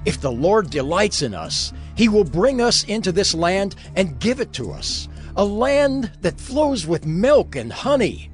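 An actor playing a bold Israelite scout, emphatic and declamatory, in an audio Bible drama. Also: male voice, high-pitched, very animated, unhurried, few pauses, faint music behind. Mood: fervent urging.

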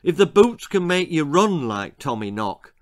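A man's voice: on the high side, sing-song, measured pace, pitched above the performer's own voice. A man voicing a stern, urgent old running coach in a storybook, commanding and emphatic. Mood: grave.

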